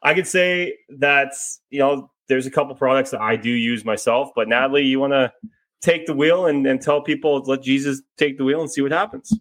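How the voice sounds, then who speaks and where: A man's voice: medium pitch, fairly quick, a bit bright, no room sound. A man hosting a podcast in loose, joking banter, often stumbling over his words. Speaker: podcast host.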